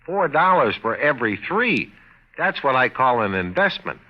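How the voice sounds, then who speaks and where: A man's voice: mid-range voice, very animated, normal speed, natural voice. Male actor as practical husband, mid-range voice, dry, teasing, patient, vintage radio advert, dull recording. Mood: earnest, persuading. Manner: wry, explanatory. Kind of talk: 1950s radio comedy advertisement. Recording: muffled vintage radio broadcast.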